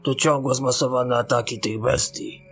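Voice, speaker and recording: Grave, respectful town-guard ensign played by a man, fantasy radio play, gravelly middle-pitched voice. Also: steady tone, unhurried, fairly bright tone, soft background music. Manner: respectful, grave military reporting. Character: battle-weary male ensign defending a besieged fantasy town. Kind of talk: fantasy audio drama acting.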